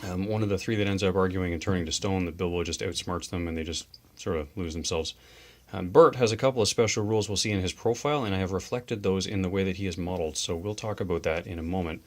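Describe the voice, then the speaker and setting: A man hosting a tabletop wargame show, chatting casually and enthusiastically about models, dice and rules. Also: low voice. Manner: chatty, enthusiastic, quick asides. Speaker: host of a tabletop wargame channel.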